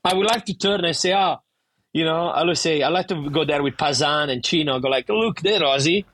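A man's voice: fairly high pitch, brisk, fairly bright tone, husky, dry recording. A middle-aged man from Italy with a thick Italian accent, a bar owner talking animatedly and emphatically in a casual interview, often restarting his sentences.

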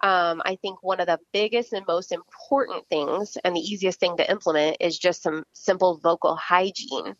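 A woman speech-language pathologist talks through voice exercises in an easy, explanatory way, with plenty of fillers. Her voice sits a little low. The recording is dry and slightly muffled. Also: normal speed, hardly any pauses, no echo. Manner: explanatory, steady, many fillers. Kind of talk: casual interview answers.

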